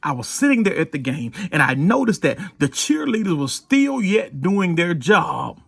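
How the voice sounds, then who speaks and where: A male pastor delivers an informal, exhortative sermon. His voice sits fairly high and has a husky grain. He speaks in a folksy way, repeating phrases for emphasis, and the sound is close and dry with little room in it. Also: very animated, moderate pace, no room sound.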